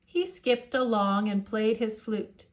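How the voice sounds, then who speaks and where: High-voiced, slow-paced woman storyteller. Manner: rhythmic rhyming delivery. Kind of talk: children's picture book read-aloud narration.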